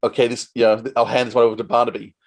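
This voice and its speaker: A man's voice: medium pitch, level tone, very fast, gravelly, slight background noise. A man hosting a casual interview, chatting as the interviewer.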